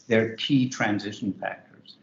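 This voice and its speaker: A man's voice: fairly low pitch, fairly even intonation, a little slow. A man, co-author of a book, talking loosely with many restarts in a virtual author interview. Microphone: video call. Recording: roomy, muffled video call.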